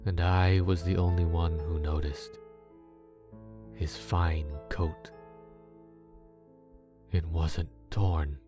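Low voiced guy reading a creepy tale, slow and brooding, music under it. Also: flat delivery. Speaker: narrator. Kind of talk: scripted dark story narration. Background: background music.

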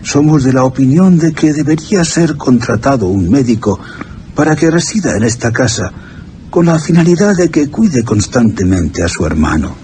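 An actor playing a concerned gentleman guardian in a period radio drama, speaking with grave courtesy. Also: mid-range voice, sing-song, moderate pace, natural voice. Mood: grave, courteous. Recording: slightly noisy radio drama.

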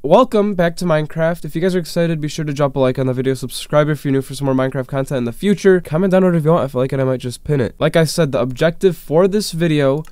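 A man with a slightly congested voice, talking excitably through his gameplay and breaking into quick, frustrated outbursts. His voice sits fairly high yet stays smooth and clear, recorded close with no room echo. Faint game music plays underneath. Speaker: gaming YouTuber. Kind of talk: casual gameplay commentary.

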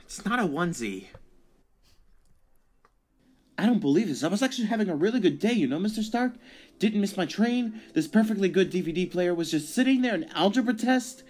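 Girl doing a jittery teen superhero boy for a fandub, stumbles over words, defensive, really animated pitch. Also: fast talker, lightly acted. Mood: agitated. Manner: hesitant, stammering. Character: nervous, earnest teenage boy superhero, stammering and defensive. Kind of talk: amateur fan dub of a film scene.

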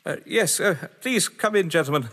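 A man acts a desperate, haughty husband in a radio play, cornered in a deadly game. His voice sits fairly high and he articulates with theatrical care, taking his time. Long reverb and some noise surround him. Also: expressive, normal speed, fairly bright tone. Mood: panicked. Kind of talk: audio drama acting.

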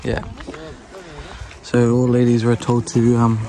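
A man vlogging a river trip outdoors in a relaxed, chatty way. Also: mid-range voice, slow pace. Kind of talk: casual travel vlog chat. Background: music.

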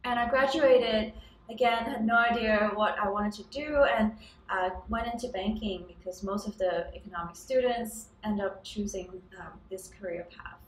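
A woman's voice: mid-range voice, normal speed. Woman, young adult, from Hong Kong, startup founder, hesitant fillers, roomy echo, distant mic. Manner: thoughtful, many ums and restarts, occasional laughs. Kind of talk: casual interview answers. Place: office room by a large window with city towers outside.

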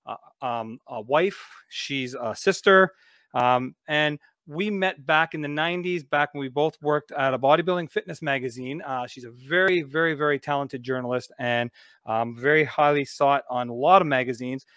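A man in his forties hosting a casual video podcast, chatty and relaxed, with plenty of fillers. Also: medium pitch, normal speed, no echo, clean studio sound. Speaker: podcast host. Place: home studio, indoors. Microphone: studio mic on a video call.

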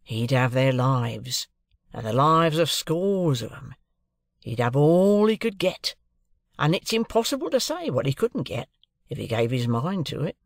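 A woman's voice: very deep voice, very animated, measured pace, no echo, studio quality, exaggerated voice. A woman voicing a proud, shrewd, eccentric male clerk in an audiobook, with emphatic, confiding, colloquial talk. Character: chirpy, proud middle-aged London clerk, man, shrewd and eccentric.

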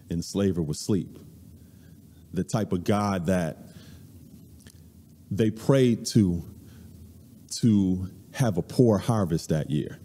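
Deep, dark-voiced man in a reverberant hall. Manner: conversational, measured talk with frequent ums. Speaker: guest speaker. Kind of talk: lecture at a church service. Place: large church hall, indoors. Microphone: stand mic at a few steps, far camera.

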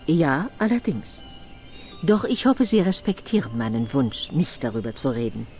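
Proud old noble in an adventure game, formal and haughty, pitch swoops a lot, soft music. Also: male voice, big pitch swings, normal speed. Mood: guarded, haughty. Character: proud, stern elderly aristocrat with noble ancestry. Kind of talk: adventure game voice acting, German dub.

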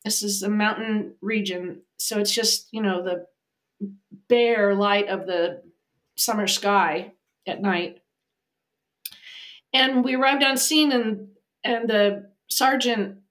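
A woman's voice: mid-range voice, a bit bright. A woman in her fifties from the United States, an animal communicator telling a vivid story as a podcast guest over a video call from a home office. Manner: vivid storytelling, acting out quoted voices. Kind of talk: storytelling in an interview. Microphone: video call, webcam.

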